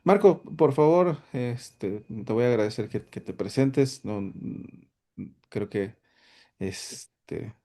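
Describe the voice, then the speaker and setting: A man's voice: mid-range voice, lively intonation, takes it slowly, dark tone. A man hosting an online tech workshop, hesitant, with many fillers and restarts. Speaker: solutions architect, workshop host. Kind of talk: webinar presentation.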